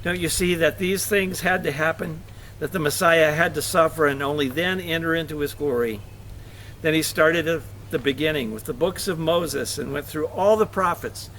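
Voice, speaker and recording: A man reading scripture aloud for a church service, expressive, with the odd stumble and self-correction. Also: on the high side, a little hiss or hum, rough recording.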